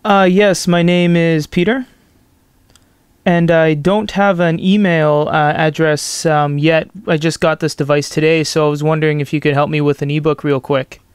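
Smooth, higher-voiced easygoing man. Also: level tone, normal speed, dry recording. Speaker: video host and reviewer. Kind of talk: casual chat, product demonstration.

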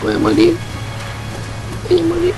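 A woman with a Chilean accent playing a game with friends, loudly complaining and repeating herself. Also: very high-pitched, huge pitch swings, unhurried, over music, rough recording. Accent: Chilean Spanish. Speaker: gamer. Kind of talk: casual gameplay chat.

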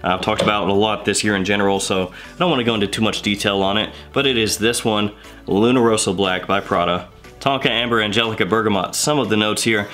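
A man in his thirties with a head cold, chatting through a casual video review and rattling off quick lists. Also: on the low side, a bit bright, faint music behind. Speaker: fragrance reviewer. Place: indoor room with shelves of bottles.

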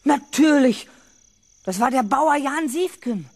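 An actor playing a jittery, imaginative schoolboy who talks to himself in awe and bravado in a 1970s radio play. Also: male voice, extremely high pitch, expressive, takes it slowly, gravelly, over music, full character voice. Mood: surprised, relieved. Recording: noisy old radio play recording.

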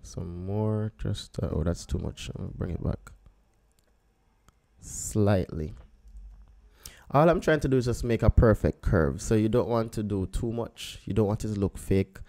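A man, a photographer, talking through a photo edit step by step in a relaxed screen-recorded tutorial, thinking aloud as he works. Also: fairly low pitch, a little slow, gravelly. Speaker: photographer teaching photo editing.

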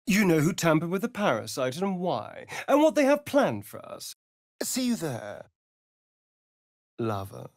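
Flirty sardonic british vampire rogue from a fantasy game, slow arch drawl, super dry close sound. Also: male voice, fairly high pitch, dry recording, full character voice. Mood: uneasy, wary, then tender. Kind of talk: theatrical video game character acting.

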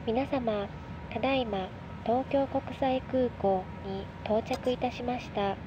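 A woman playing a polite, formal airline announcer in a game, reading a measured public announcement. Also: on the high side. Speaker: cabin and airport announcer. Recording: muffled, under loud aircraft noise.

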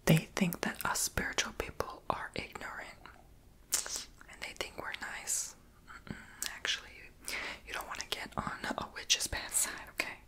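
A woman's voice: unhurried, pushed and bright, poor audio. A woman whispering in an ASMR roleplay as a chatty, quirky, sassy crystal shop saleswoman. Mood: sassy, scolding. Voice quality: breathy whisper.

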